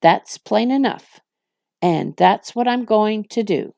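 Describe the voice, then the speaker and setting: A woman's voice: medium pitch, lively intonation, slow, gravelly, darkish tone, no room sound, studio quality. A woman voicing an irritable, growling male orc captain from a fantasy storybook, blustering and snarling. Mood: gruff, impatient.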